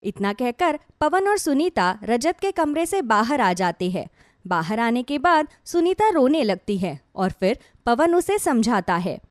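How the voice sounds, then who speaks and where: A woman's voice: on the high side, very animated, unhurried, dry recording, studio quality. A woman narrating a scripted dramatic story as a storyteller.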